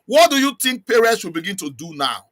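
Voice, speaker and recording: A man's voice: high-pitched, big pitch swings, fairly quick, fairly bright tone. A man from Nigeria hosting an interview and asking earnest, probing questions. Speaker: host.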